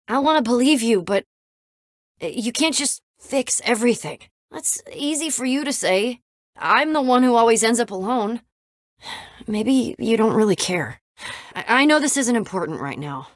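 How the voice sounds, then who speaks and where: A woman playing a guarded, sensitive young woman adventurer in a video game, with halting, emotional, conversational delivery. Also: on the high side, breathy edge, dry recording, studio quality.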